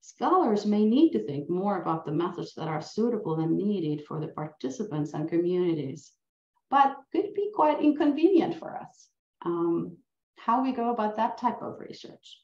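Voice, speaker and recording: A woman's voice: mid-range voice, very animated, moderate pace, a little mellow. A middle-aged woman with a Finnish accent, a native Finnish speaker, giving an earnest, reflective academic lecture over a video call and asking many questions. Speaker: university professor. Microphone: laptop mic on a video call. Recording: roomy, dull-sounding video call.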